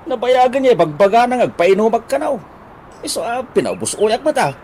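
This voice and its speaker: A man playing a well-meaning, chatty buddy who coaxes and grumbles haltingly in an Ilocano comedy radio drama. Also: extremely high pitch, big pitch swings, darkish tone, a little hiss or hum. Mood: grumbling.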